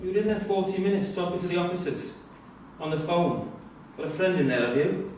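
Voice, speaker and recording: High-voiced needling young prisoner man acting, echoey. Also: expressive, a little fast, a little mellow, slight background noise. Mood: probing. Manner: taunting, probing. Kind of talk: live staged play reading. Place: theatre-pub performance space, recorded from a distance. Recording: very echoey stage recording from far off.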